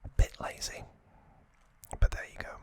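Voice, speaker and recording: A man hosting an ASMR video, giving a casual recap in a breathy, unvoiced whisper with a halting, intimate delivery. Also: darkish tone.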